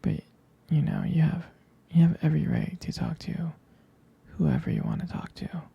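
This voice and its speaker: A man playing a jealous, protective, devoted boyfriend in an intimate ASMR roleplay, speaking in a breathy, halting, tender whisper. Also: on the high side, wildly swooping pitch, dull audio, exaggerated voice. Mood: jealous, hurt. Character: jealous, protective, devoted young boyfriend soothing his partner.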